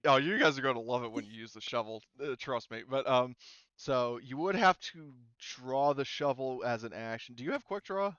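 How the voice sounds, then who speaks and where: A man's voice: mid-range voice, normal speed, fairly bright tone, smooth, no echo, lo-fi sound. A man speaking casually as a game master, explaining rules and thinking aloud in a hesitant, informal way.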